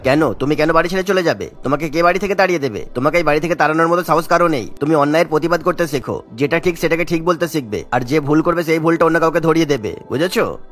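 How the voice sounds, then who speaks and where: A man's voice: fairly high pitch, racing, a bit bright, faint music behind, natural voice. A man voicing an indignant, protective older father-in-law in a cartoon, emphatic and scolding. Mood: protective, urging.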